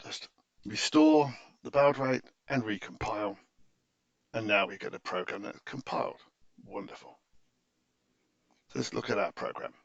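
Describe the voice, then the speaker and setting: A man giving an unscripted, methodical screen-share software tutorial in an explanatory, instructive way, on a dull recording. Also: on the low side, wildly swooping pitch, unhurried, creaky, long pauses, no room sound.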